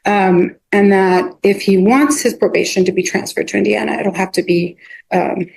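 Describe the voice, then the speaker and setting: A woman argues as a defense lawyer before a judge, at a middling pitch. Her delivery is halting, with phrases begun, dropped and repeated as she puts her points on the record. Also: gravelly, a little mellow, husky, low-quality recording. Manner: halting, repetitive, restarting phrases often. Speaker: defense attorney. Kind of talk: addressing a judge in a court hearing. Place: courtroom.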